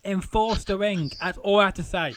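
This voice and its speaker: Croaky high-voiced male interview host. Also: moderate pace, a bit bright, no echo. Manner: rambling, chatty, many fillers. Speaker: podcast host. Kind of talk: casual interview chat.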